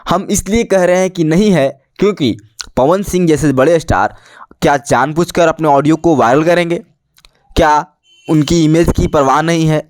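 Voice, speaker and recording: A man's voice: on the high side, a little mellow, no echo, studio quality. A man presenting news commentary for an online channel, explaining things to viewers and posing rhetorical questions.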